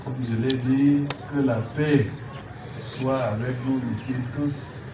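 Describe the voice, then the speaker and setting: A man giving a formal public address as a civic education speaker, with deliberate, hesitant phrasing. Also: medium pitch, steady tone. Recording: echoey, noisy, muffled, far from mic.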